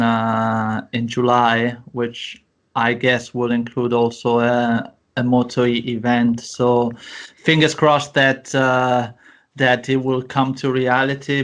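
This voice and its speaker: A male podcast host leads the interview with long questions that wander. He pads them with plenty of fillers and false starts, and his voice sits at an ordinary middle pitch. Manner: rambling questions with many fillers.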